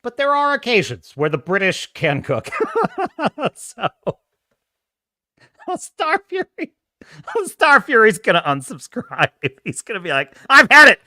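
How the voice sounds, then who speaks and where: A man's voice: high voice, huge pitch swings, takes it slowly, sharp tone, no echo, clean studio sound. A man hosting a casual livestream, chatting and reading viewer comments, with a self-described whiny, nerdy voice, rambling and laughing often. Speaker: host.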